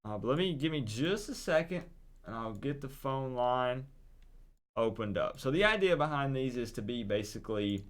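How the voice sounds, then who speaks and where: A man's voice: mid-range voice, animated, normal speed. Casual male livestream host taking calls. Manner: informal, encouraging, addresses viewers directly. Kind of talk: casual live call-in show chat.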